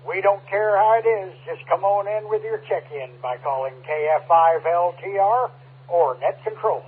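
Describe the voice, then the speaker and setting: High, husky man on tinny radio. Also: moderate pace. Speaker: amateur radio net controller. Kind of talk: running a radio check-in net. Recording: tinny narrow-band ham radio transmission.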